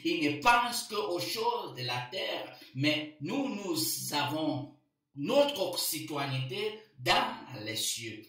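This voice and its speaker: A man preaching a Sunday homily as a priest, slowly repeating key phrases for emphasis. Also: fairly high pitch, very animated, pushed and bright, heavy reverb, distant mic. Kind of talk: sermon.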